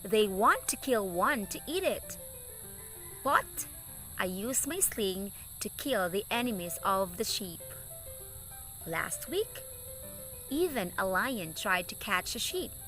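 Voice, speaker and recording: A woman plays a brave young shepherd boy from a children's storybook. The voice is lively and swings widely, quicker than the narration, with eager, cheerful confidence. Music plays behind. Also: medium pitch, full character voice. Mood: confident, explaining.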